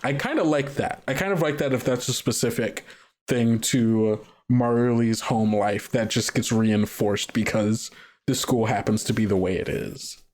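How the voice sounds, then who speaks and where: A man's voice: medium pitch, unhurried, a little mellow. Hesitant man improvising as game master. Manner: hesitant, thinking aloud, trailing off. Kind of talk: casual improvised narration for a tabletop roleplaying podcast.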